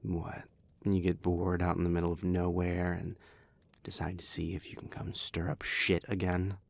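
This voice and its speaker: A man's voice: sits low, completely flat delivery, moderate pace, vocal fry, no echo. A man playing a bitter, blunt vampire. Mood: curt, fed up. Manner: blunt, irritable, swearing. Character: bitter young-turned male vampire, the listener's partner. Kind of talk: audio roleplay acting.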